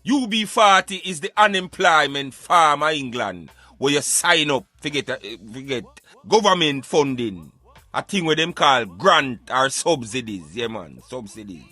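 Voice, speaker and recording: A man's voice: mid-range voice, a little slow, no echo, faint music behind. A man with a Jamaican accent talking casually and emphatically as a radio host, giving his opinions and addressing listeners like friends. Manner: animated, emphatic, repeatedly addressing listeners as brethren.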